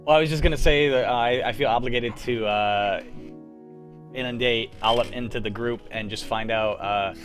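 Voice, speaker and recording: A man's voice: mid-range voice, moderate pace, soft background music. A man telling rambling stories full of fillers in a casual group chat on a livestream. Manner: rambling, filler-heavy storytelling. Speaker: radio drama troupe member leading the chat.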